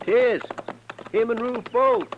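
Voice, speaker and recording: An American actor playing a folksy, eager frontier deputy sidekick with a drawling delivery in a 1950s radio western. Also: male voice, extremely high pitch, unhurried. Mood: eager, curious. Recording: dull, noisy vintage radio recording.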